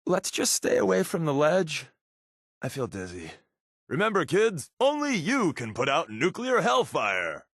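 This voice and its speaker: A man's voice: fairly high pitch, wildly swooping pitch, a little slow, a bit bright, dry recording, studio quality, full character voice. A man voicing a wry, sarcastic undercover agent in a post-apocalyptic video game, tossing off conversational quips. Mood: animated, joking. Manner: wry, sarcastic, playful asides. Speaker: video game companion character voice actor.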